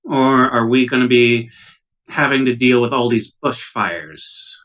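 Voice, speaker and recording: A man playing an assertive starship medical officer in a tabletop game, brisk and matter-of-fact. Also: mid-range voice, fairly bright tone, close to an everyday voice. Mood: businesslike.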